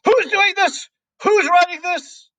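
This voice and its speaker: A man voicing a furious, tyrannical older woman headmistress in a storybook, shrill and bullying as she shouts. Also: sing-song, moderate pace, no room sound, far from the mic, full character voice. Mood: shocked, alarmed.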